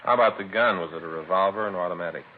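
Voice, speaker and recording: An old, tinny 1950s radio crime drama with light noise. A man acts a plainspoken detective sidekick in a low voice, casual, inquisitive and matter-of-fact. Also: rapid-fire, close to an everyday voice. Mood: businesslike. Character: plainspoken, inquisitive male police detective partner. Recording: lo-fi noisy 1950s radio recording.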